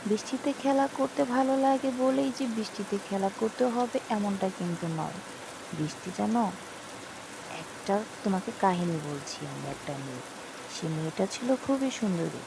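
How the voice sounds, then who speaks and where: A woman's voice: medium pitch, moderate pace, gravelly, noisy background. A woman narrating a scripted cartoon cautionary story, speaking to a child in an admonishing way. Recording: rain sounds over voice.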